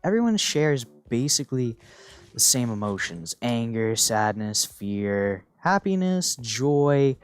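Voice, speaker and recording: A man's voice: mid-range voice, big pitch swings, slow pace, clear, resonant tone. A teenage boy giving a casual, rambling monologue with goofy, playful asides. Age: teens. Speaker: gaming channel host.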